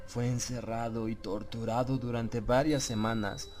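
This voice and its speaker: A man narrating a horror audiobook in a solemn, measured, suspenseful way. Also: mid-range voice, steady tone. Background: prominent background music.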